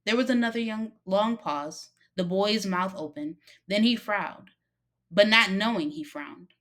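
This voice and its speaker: A woman narrating a short story expressively, with dramatic pauses and emphasis. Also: medium pitch, fairly bright tone. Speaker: story reader.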